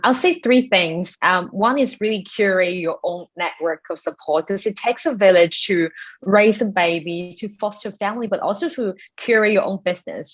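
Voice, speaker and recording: Lowish-voiced woman panelist on muffled call. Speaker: panelist, programme representative. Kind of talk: structured panel answer. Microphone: online conference call.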